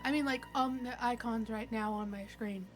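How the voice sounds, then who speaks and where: A woman's voice: a little high, steady tone, normal speed. A woman playing a tabletop game, talking in an informal, chatty way. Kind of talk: casual game chat. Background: faint music.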